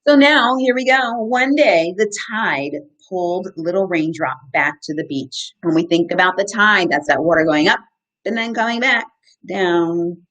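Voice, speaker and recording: A woman in her thirties, an educator reading to children in an animated, questioning way and explaining as she goes. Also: fairly low pitch, moderate pace, rough recording. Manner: animated, asks the listeners simple questions. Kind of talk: interactive children's story time, reading and explaining.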